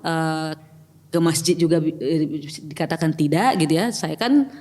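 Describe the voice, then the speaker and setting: A woman's voice: deep voice, expressive, unhurried, a little mellow. A middle-aged woman with a Batak accent, telling her own story as a podcast guest, reflective and hesitant, with many fillers and restarts. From Batak, North Sumatra. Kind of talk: personal testimony in casual chat. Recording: long reverb with faint music.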